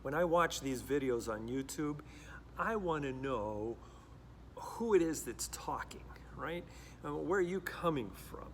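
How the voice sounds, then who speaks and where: A man's voice: on the high side, a bit bright, slight background noise. A middle-aged man who grew up in Costa Rica, a pastor speaking to camera in a reflective, earnest way with frequent restarts. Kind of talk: direct-to-camera talk.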